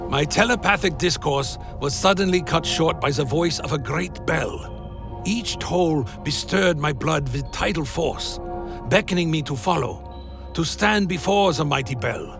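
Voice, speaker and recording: A man playing a brooding vampire who tells his tale in a grave, deliberate, dramatic way, as scripted gothic radio play narration. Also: on the high side, gravelly. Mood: grave, awed. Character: Alaric the Damned, brooding male vampire telling his tale. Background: music.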